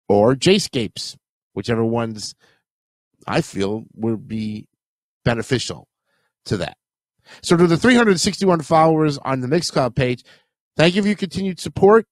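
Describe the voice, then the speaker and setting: A man's voice: mid-range voice, dry recording. Nasal New man with a cold. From Queens, New York. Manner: rambling, chatty, self-correcting. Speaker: podcast host. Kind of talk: casual solo podcast talk.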